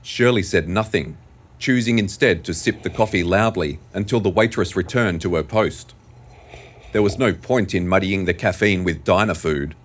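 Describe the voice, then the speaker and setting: Low-voiced man narrating a dramatic story. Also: normal speed.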